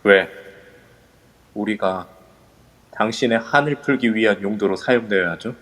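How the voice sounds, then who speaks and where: A man's voice: on the low side, normal speed, a bit bright, close to an everyday voice. A man playing a defiant hero in an amateur audio drama, confrontational and demanding as he accuses his foe. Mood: accusing. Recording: long reverb throughout.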